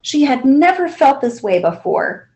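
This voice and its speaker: A woman reads a picture book to children. Her voice is high and very varied in pitch, and she tells the story deliberately and expressively. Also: very animated, darkish tone, highs cut off. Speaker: librarian reading aloud.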